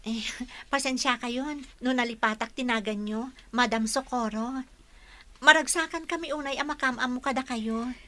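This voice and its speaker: A woman's voice: high voice, moderate pace, a bit bright. A woman with a Filipino accent acting an eager, respectful young student teacher, polite and earnest, in a radio drama.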